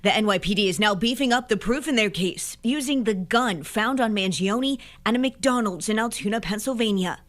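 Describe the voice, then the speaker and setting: A woman news reporter speaks at an average pitch. She delivers a scripted crime report in a broadcast style. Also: lively intonation, normal speed, few pauses.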